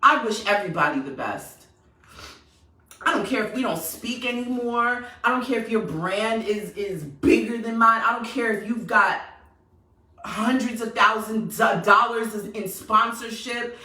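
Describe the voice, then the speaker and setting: A woman's voice: on the high side, unhurried, echoey room, recorded from a distance, low-quality recording. A woman from New York with a New York accent, ranting passionately and sassily straight to her audience with emphatic repetition. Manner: animated, sassy, emphatic repetition. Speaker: vlogger. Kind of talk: passionate direct-to-camera rant.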